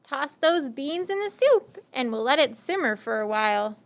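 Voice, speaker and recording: Puppet show vagabond, played by a woman: high-pitched, swooping delivery, theatrical and deliberate, a friendly coaxer. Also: sing-song, gravelly, exaggerated voice. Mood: cheerful, directing. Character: friendly, tired, hungry wandering stranger puppet, cleverly coaxing. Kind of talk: children's puppet show acting.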